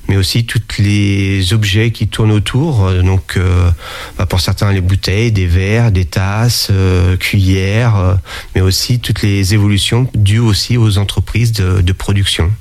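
A man with a fairly low voice talks in a relaxed interview. He stops often with fillers and restarts, and he explains things at length. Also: measured pace. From France. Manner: hesitant, many fillers and restarts. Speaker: interviewee, sommelier and museum founder. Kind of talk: casual interview talk.

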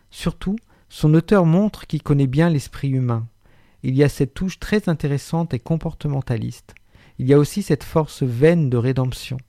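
A man delivers a radio book column in a wry, articulate way, pausing now and then on hesitations. His voice sits a little high. The studio sound is close and dry. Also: dark tone, dry recording. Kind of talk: radio literary chronicle, partly read.

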